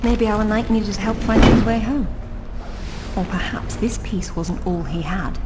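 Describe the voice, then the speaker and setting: An actress voicing a witty, determined tomb raider in a video game, articulate and dryly British. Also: female voice, medium pitch, a little slow, very noisy, lightly acted. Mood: curious. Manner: articulate, dry wit. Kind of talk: video game cutscene acting. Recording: loud music behind the voice.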